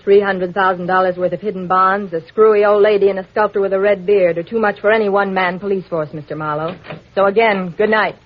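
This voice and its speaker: An actress playing an evasive, sharp-tongued woman in trouble, with a brusque vintage radio noir delivery. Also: medium pitch, steady tone, fast talker, lightly acted. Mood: brusque, guarded. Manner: urgent, brusque wisecracks. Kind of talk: 1940s-50s radio noir acting. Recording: muffled vintage radio recording.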